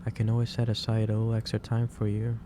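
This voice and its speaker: The man-acted kindly manager in an ASMR roleplay who stops at your desk after hours, lowish voice barely moving, hesitantly fretting over you through steady background noise. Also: natural voice. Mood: caring, reassuring. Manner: hesitant, halting, repeats phrases. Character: gentle, caring male office boss, worried and lecturing. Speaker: roleplay performer. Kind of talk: ASMR roleplay, soft-spoken monologue.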